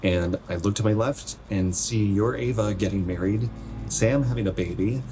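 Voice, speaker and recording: An American man playing a weary, bluntly honest friend in his twenties in a naturalistic radio play, with measured delivery. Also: fairly low pitch, close to an everyday voice. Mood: resigned, guarded. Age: young adult. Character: resigned 27-year-old male hometown friend, weary and bluntly honest.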